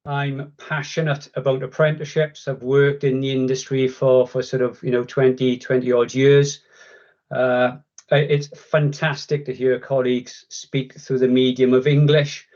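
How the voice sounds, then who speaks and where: A man's voice: medium pitch, level tone, normal speed, a little mellow, highs cut off. A man with a Welsh accent giving a chatty, self-deprecating guest talk on a video call. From Wales. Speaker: guest speaker. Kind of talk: online conference presentation.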